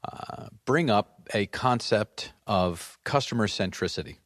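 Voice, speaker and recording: A man's voice: on the low side, expressive, measured pace, a bit bright, no echo, studio quality. A podcast host interviewing a guest, chatty, often stopping to rephrase his questions.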